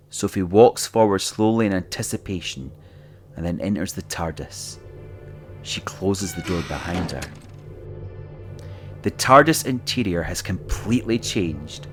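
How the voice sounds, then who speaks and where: Low-voiced male story narrator. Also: fairly bright tone. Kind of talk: scripted audio drama narration.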